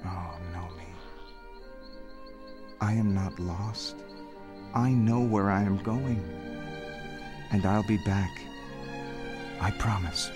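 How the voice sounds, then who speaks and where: An actor playing a headstrong young Jedi knight, earnest and intense, in an audio drama. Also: male voice, on the low side, takes it slowly, pauses often, music in the background. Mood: tender.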